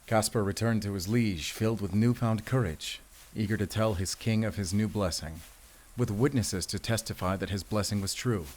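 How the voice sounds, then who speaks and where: An actor playing a battle-weary, protective werewolf hunter in an audio roleplay, with a grave, deliberate, commanding way of speaking to the listener. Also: male voice, lowish voice, darkish tone, close to an everyday voice. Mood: solemn storytelling.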